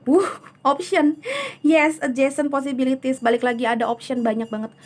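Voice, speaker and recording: A hoarse woman giving a chatty tarot reading, soothing and unhurried, often slipping into English words. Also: high-pitched, fairly even intonation. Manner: soothing, chatty, many fillers. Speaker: tarot reader.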